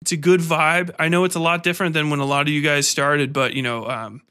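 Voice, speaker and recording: Bright, higher-pitched man hosting a podcast. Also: flat delivery, a little fast, smooth. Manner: informal, slangy, swears. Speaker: podcast host interviewing an author. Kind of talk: casual podcast chat.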